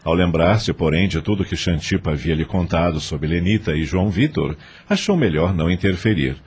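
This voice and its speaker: A man's voice: deep voice, animated, a little fast, darkish tone, breathy edge. A man with a Brazilian accent narrating an audio novel as scripted, dramatic storytelling.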